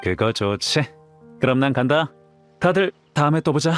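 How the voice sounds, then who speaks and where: A man's voice: on the high side, animated, normal speed, soft background music, natural voice. A man voicing a confident, playful young warrior in an anime game, with a casual, easygoing manner. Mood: breezy.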